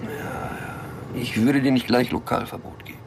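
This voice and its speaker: An actor playing a cynical, nervy small-time cocaine dealer in a German crime radio drama, laconic and sardonic with slangy talk. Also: male voice, medium pitch, steady tone, moderate pace, gravelly, a little hiss or hum, natural voice. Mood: weary, laconic.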